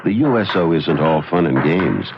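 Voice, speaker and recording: Very deep, earnest male announcer. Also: expressive, moderate pace. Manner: earnest, emphatic short statements. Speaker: public service announcer. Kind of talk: scripted radio appeal. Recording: dull, very noisy 1975 radio broadcast.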